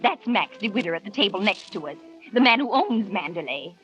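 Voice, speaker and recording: An actress in a 1940s radio drama playing an older snobbish society matron, bossy and gushing. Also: female voice, medium pitch, sing-song, fairly quick, fairly bright tone, faint music behind. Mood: gossipy. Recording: dull lo-fi old radio recording.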